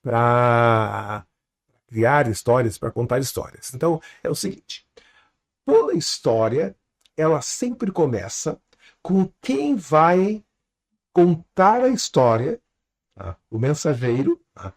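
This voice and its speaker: A man in an interview explaining storytelling with examples, speaking Portuguese learned in southern Brazil with a foreign accent and an animated teaching manner. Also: medium pitch, slow pace. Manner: animated teacher, drops to quiet asides, tags lines with "tá?". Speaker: storytelling consultant, guest.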